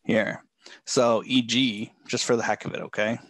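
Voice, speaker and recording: A man coaching a live trading session from a home office, talking in a relaxed way with many fillers, a bit hoarse and often clearing his throat. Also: mid-range voice, normal speed, no room sound. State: losing his voice.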